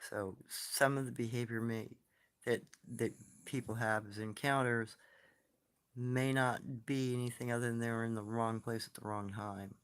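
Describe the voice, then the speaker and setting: A soft-spoken man telling stories and answering comments in a casual, rambling live stream, recorded on his phone. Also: medium pitch, fairly even intonation, normal speed, smooth.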